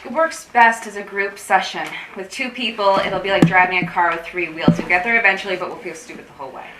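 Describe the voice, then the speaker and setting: An actress in a staged reading playing an anxious, wry, guarded support group organiser, rambling and awkwardly correcting herself. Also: female voice, mid-range voice, fast talker, close to an everyday voice. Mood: wry, edgy. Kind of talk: staged play reading, naturalistic dialogue. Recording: roomy, distant mic with faint noise.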